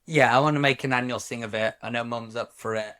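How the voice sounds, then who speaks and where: A man from Wales co-hosting a podcast, talking reflectively in a casual chat, often pausing and restarting his sentences. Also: medium pitch, steady tone, pushed and bright. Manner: reflective, halting, many restarts. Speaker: podcast co-host.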